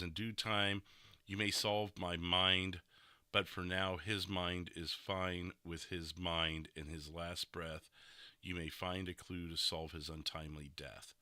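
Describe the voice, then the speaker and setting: A man with a very low, strikingly bright and forceful voice hosts a casual gaming podcast. He chats about roleplay on a close microphone with a dry sound. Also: no room sound. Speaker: podcast host and streamer. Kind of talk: casual chat reviewing game roleplay.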